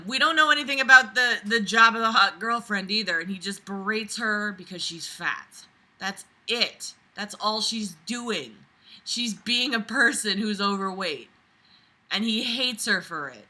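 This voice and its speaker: A woman's voice: medium pitch, measured pace. A woman reading a story aloud and breaking in with incredulous, sweary, theatrical commentary. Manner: animated outbursts and sarcastic asides. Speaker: host of a reading-and-reacting video.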